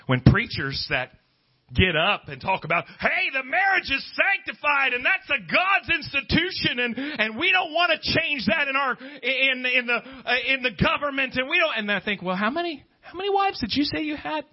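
A man's voice: extremely high pitch, big pitch swings, normal speed, dull audio. A man preaching a Pentecostal-style sermon to a congregation, speaking emphatically, repeating phrases and prompting listeners for agreement.

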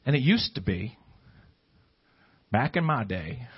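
A man's voice: medium pitch, sing-song, slow, muffled recording. A man preaching a Pentecostal-style sermon to a congregation, speaking emphatically, repeating phrases and prompting listeners for agreement.

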